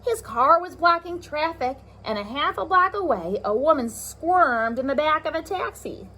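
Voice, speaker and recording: A woman reading a picture book aloud to young children, expressive, with chatty asides to her listeners. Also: high voice, normal speed. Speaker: pre-K teacher reading aloud.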